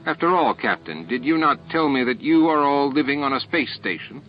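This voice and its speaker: A calm alien hive leader from a sci-fi audiobook, acted out by a man, smooth, formal and condescending. His medium-pitched voice moves in lively swings, with music behind a dull recording. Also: normal speed, close to an everyday voice. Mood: puzzled, smug. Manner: smooth, formal, self-assured.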